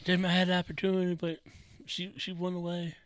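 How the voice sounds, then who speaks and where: A man's voice: high-pitched, monotone, brisk, no room sound. A young man chats easily on a casual podcast. He is laid-back and teasing. He often starts his lines with "yo.". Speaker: podcast co-host. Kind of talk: casual banter.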